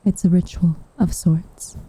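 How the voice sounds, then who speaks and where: Woman playing a very dark, breathy, soft-spoken witch. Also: on the low side, fairly even intonation, no room sound, rough recording. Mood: wistful, tender. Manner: soft, breathy, tender, measured delivery. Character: tsundere witch, gentle, wistful, quietly protective woman. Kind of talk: soft roleplay ASMR, intimate and half-whispered. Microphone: close microphone.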